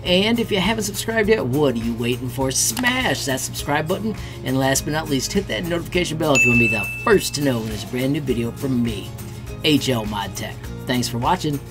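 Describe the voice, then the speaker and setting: A man's voice: mid-range voice, animated, brisk, over loud music. A middle-aged American man narrating an upbeat screen-share tutorial in an enthusiastic, friendly way as an online host. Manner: enthusiastic, friendly sign-posting to viewers.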